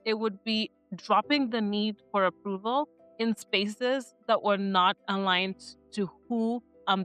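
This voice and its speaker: Earnest woman vlogger with a smooth voice. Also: mid-range voice, fairly bright tone. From Kenya. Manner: earnest, many restarts and "right?". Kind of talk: reflective personal talk to camera.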